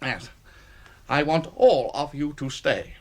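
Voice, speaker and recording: An actor playing an embittered, sarcastic old army colonel with a British accent and a pompous, biting manner in a radio drama. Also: male voice, fairly high pitch, slow. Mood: bitter, deliberate. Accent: British English. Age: older.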